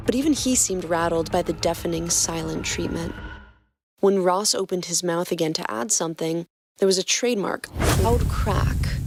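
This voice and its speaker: A woman narrating a fan fiction story in a steady reading voice. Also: lowish voice. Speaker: podcast co-host narrating fanfiction.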